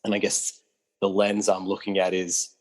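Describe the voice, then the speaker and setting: A man's voice: low-pitched, monotone, normal speed. A man, co-hosting a casual film chat, asking questions in a hesitant way with restarts and fillers. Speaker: podcast co-host.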